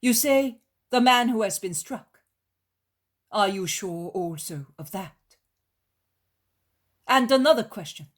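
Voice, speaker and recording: A woman performs a commanding yet gentle male teacher from a religious story. The voice is breathy and rough-edged, with slow, deliberate delivery. Each phrase is solemn and emphatic. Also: on the high side, pitched above the performer's own voice. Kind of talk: dramatised religious story reading.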